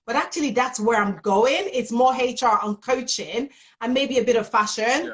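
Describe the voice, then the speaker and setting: A woman in her thirties from Nigeria, speaking with a Nigerian accent. She is an HR business partner chatting casually in a live interview over a phone video call, with a big, energetic, expressive voice. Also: a little high, moderate pace.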